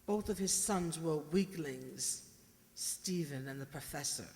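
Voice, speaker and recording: A woman acting an ailing, sharp-tongued elderly mother in a radio drama, imperious, urgent and blunt. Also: low voice, measured pace. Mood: reflective, insistent. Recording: very echoey hall, long reverb.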